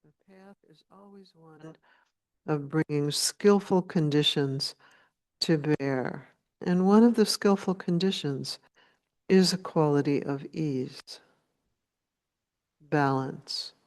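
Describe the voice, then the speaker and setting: A woman leading a guided meditation as a teacher, speaking in a gentle, unhurried way with long pauses. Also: low-pitched.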